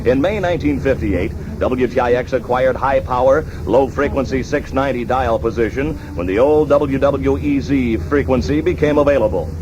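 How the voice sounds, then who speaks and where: A man's voice: medium pitch, moderate pace, hardly any pauses. A man with a formal announcer delivery, reading scripted narration in the manner of a 1960s radio documentary. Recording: dull, noisy archival 1960s radio recording.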